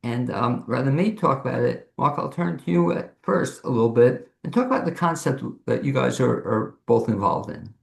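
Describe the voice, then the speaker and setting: Gravelly man hosting an echoey interview. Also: medium pitch, normal speed, hardly any pauses. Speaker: interview show host. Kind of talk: conversational interviewing over video call. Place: home office studio, on a video call. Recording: echoey room over a video call.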